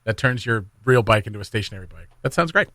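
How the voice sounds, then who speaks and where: A man's voice: fairly low pitch, lively intonation, quick pace, pushed and bright, no room sound, clean studio sound. A man hosting a morning radio show in an informal, jokey way with casual banter. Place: dry radio studio.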